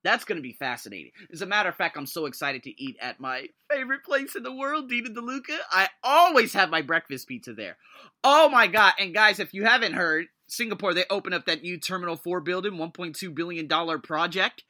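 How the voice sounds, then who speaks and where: A man in his thirties hosting a solo motivational podcast, talking in an excitable, emphatic way with his voice leaping up when he gets thrilled. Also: high-pitched, a little fast. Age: 30s. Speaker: podcast host.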